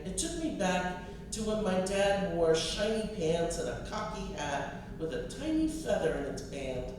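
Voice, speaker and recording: American woman poet reading aloud, kinda low voice for a lady, measured phrasing, super echoey room. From US East Coast. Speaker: poet and college professor reading own work. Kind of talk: literary reading of poetry and personal essay. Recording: distant mic, echoey room, clear noise.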